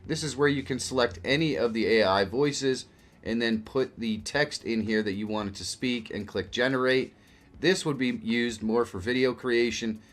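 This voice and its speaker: A man hosting a casual software walkthrough as a video tutorial. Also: on the low side. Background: background music.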